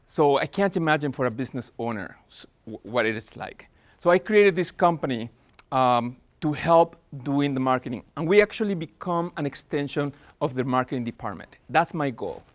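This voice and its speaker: A man's voice: mid-range voice, lively intonation, normal speed. A man in his forties with a Spanish accent, presenting at a business workshop in an earnest way, with frequent fillers and restarts. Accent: Spanish-accented English.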